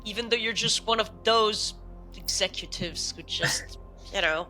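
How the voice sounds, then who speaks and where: A woman with a bright, sharp voice of middling pitch chats as a game player. She retells moments in an animated way, quoting her own reactions. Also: measured pace, faint music behind. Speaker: role-play game player. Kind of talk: casual chat.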